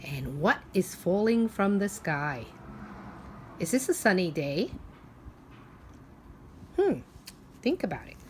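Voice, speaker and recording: A woman teacher leading a read-aloud for young children, asking simple questions and pausing for them to think. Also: fairly low pitch, sing-song, a little mellow, a little hiss or hum.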